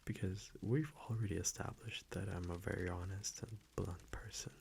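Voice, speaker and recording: A man playing a tender, blunt vampire boyfriend in an intimate ASMR roleplay, murmuring softly and haltingly close to the mic. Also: low voice, fairly even intonation, a little hiss or hum. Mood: tender, reassuring. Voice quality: breathy, gravelly murmur, often whispered. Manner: soft, halting, affectionate, with small pauses. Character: tender, blunt young male vampire boyfriend, teasingly possessive. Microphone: close microphone.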